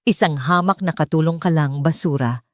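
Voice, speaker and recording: A woman's voice: low voice, moderate pace, dry recording, lightly acted. Spiteful rich woman , read by a female storyteller, haughty, scornful, dry recording. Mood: contemptuous rage. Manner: scornful, accusing.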